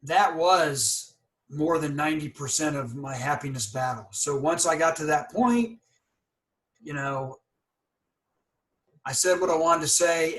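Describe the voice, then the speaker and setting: A man in his forties hosts a casual interview podcast. He stutters openly, with blocks and repeated sounds, but speaks with earnest candor. His voice sits a little higher than most men's. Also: a bit bright. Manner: candid and earnest. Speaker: podcast host.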